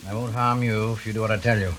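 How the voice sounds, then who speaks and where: Male actor for radio-noir villain: low-pitched intruder, icily polite and threatening, slightly hissy old recording. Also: little pitch movement, fast, fairly bright tone, natural voice. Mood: mock-polite menace. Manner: mock-courteous, threatening. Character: menacing, coldly polite scarred male intruder. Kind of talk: 1940s radio suspense acting. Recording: noisy vintage radio recording.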